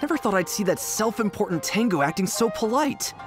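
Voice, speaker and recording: Animated, worried young gang member played by a woman. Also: medium pitch, fairly quick, over music, natural voice. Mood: suspicious. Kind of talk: video game voice acting.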